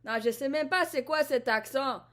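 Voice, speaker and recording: Give me a high-pitched woman from Ottawa musing introspectively in a rambling vlog. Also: normal speed, a bit bright. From Ottawa, Canada. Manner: introspective, repeats and restarts phrases. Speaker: vlogger. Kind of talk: rambling personal vlog monologue.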